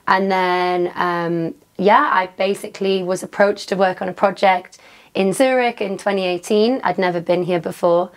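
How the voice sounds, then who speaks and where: A woman's voice: medium pitch, steady tone, moderate pace. A young woman from Newcastle with a Geordie accent, answering interview questions in a casual, chatty way with lots of "um" and "like". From Newcastle, England. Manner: chatty, fillers like "um" and "like". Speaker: interview guest, DJ.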